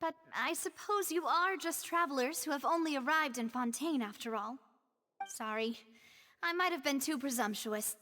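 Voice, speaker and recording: A woman's voice: high-pitched, normal speed, pushed and bright, big-room sound, slight background noise. A woman playing a friendly, genteel young female boss in an anime video game, with polite, flowery and slightly wistful phrasing.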